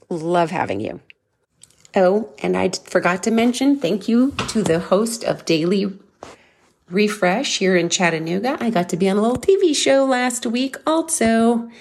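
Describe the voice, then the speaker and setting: A woman's voice: medium pitch, creaky, no room sound, studio quality. A middle-aged woman hosting a podcast from home, chatting effusively and restarting her sentences often. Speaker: podcast host. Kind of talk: casual chat and interviewing.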